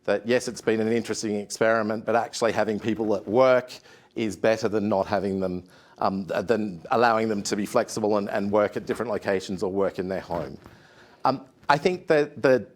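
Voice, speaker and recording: An Australian man speaking at a conference panel, measured, with many "um"s and restarts. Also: lowish voice. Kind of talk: conference talk.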